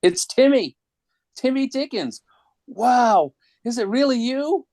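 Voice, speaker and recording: An adult playing an excitable, swooping little boy. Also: mid-range voice, takes it slowly, dry recording, studio quality. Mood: eager, awed. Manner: slangy, wide-eyed, childlike. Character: cheeky, excitable young boy, hypnotized into robbery. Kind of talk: dramatic comic-book table read.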